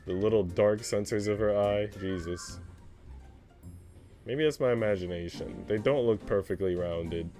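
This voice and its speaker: A man's voice: fairly low pitch, measured pace, mellow. Man reading a visual novel over music. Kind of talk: narration with casual reactions.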